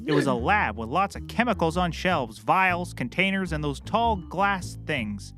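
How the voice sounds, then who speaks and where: A man's voice: on the high side, lively intonation, a little slow, a bit bright, soft background music. A man playing a cheerfully clueless, rambling private eye who cracks corny jokes in a scripted comedy. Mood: breezy, clueless. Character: bumbling, chirpy, oblivious male puppet-world private eye.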